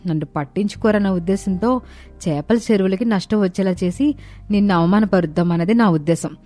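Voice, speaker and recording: A woman's voice: mid-range voice, brisk, warm tone, natural voice. A woman acting the earnest women of a household in a dramatized family moral story. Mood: apologetic. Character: earnest young Indian daughter-in-law and her scolding household women. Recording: music behind the voice.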